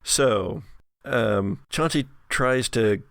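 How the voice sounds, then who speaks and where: A man's voice: medium pitch, extremely slow, vocal fry, a bit bright, no room sound, studio quality. A man running a tabletop horror game as game master, narrating scenes and guiding players.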